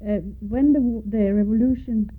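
A woman's voice: fairly high pitch, normal speed. An older woman from Denmark with a Danish accent, recalling her life in an oral history interview and spelling out names letter by letter. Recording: tinny phone-like lo-fi tape.

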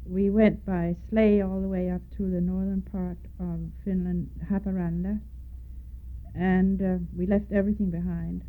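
A woman's voice: on the low side, flat delivery, moderate pace. An older woman from Denmark with a Danish accent, recalling her life in an oral history interview and spelling out names letter by letter. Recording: tinny phone-like lo-fi tape.

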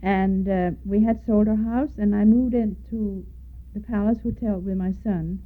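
A woman's voice: medium pitch, steady tone, a little slow. An older woman from Denmark with a Danish accent, recalling her life in an oral history interview and spelling out names letter by letter. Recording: tinny phone-like lo-fi tape.

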